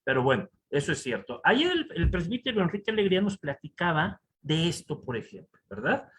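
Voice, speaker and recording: A man with a Mexican accent giving a conference lecture over slides, animated and full of rhetorical asides to the audience. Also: on the high side, fairly quick, slight background noise. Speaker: conference speaker. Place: conference hall.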